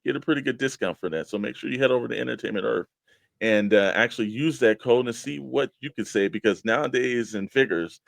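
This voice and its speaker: A man's voice: medium pitch, a little fast, gravelly, no room sound. A man co-hosting a talk show, chatting casually and putting questions to his partner. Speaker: co-host of a toy collecting show.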